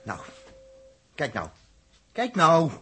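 Edgy, volatile space radio engineer. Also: male voice, a little high, huge pitch swings, drawn-out words, fairly bright tone, husky, full character voice. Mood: grumbling, tense. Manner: grumbling, edgy, terse. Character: irritable, nervy male spaceship radio engineer under strain. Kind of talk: 1960s Dutch radio drama acting. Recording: old radio recording, murmur behind.

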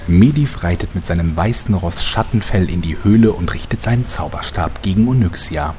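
A man's voice: low voice, animated, normal speed, warm tone, a little hiss or hum. A man playing the narrator of a fantasy soap parody, delivering scripted storybook lines. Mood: solemn narration.

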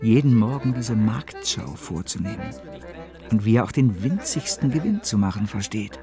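An actor playing a proud, ambitious speculator in a radio drama, terse and determined. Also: male voice, lowish voice, animated, normal speed. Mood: proud, defiant. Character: proud, ambitious male speculator seeking backers. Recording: music under the voice. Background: music.

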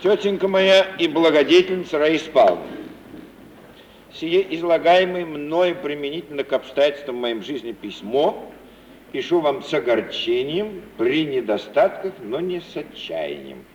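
An actor as a grandiloquent gentleman flatterer with a fairly high male voice that swoops widely. He declaims lofty sentiments in vintage radio-theatre style. The old recording is dull and sounds distant. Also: moderate pace, slight background noise, close to an everyday voice. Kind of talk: 1950s Russian radio theatre acting.

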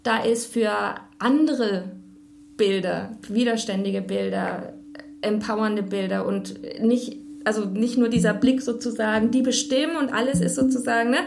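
A woman's voice: fairly high pitch, fairly even intonation, moderate pace, creaky, slight background noise. A woman in spontaneous discussion, speaking emphatically in loose phrases and repeating words. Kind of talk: spontaneous discussion about images. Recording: loud music behind the voice. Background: loud music.

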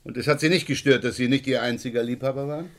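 An actor playing a French chief inspector in a German crime radio play, brisk, probing and wryly authoritative. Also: male voice, mid-range voice, fairly even intonation, lightly acted.

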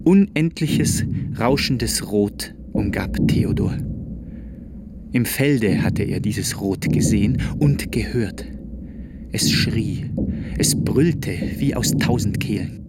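A man narrates a literary radio play in a grave, deliberate and dramatic way. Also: fairly low pitch, animated, heavy background noise.

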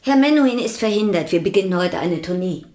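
An actress playing a brisk, suspicious woman of the house in a radio play, questioning insistently. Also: mid-range voice, lively intonation, a little mellow, lightly acted.

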